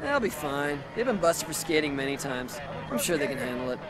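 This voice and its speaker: An actor playing a confident, upbeat pro skateboard team leader with an American accent, earnest and rallying, in a video game. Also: male voice, on the high side, big pitch swings, a little fast, crowd noise. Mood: reassuring. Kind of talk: video game cutscene acting.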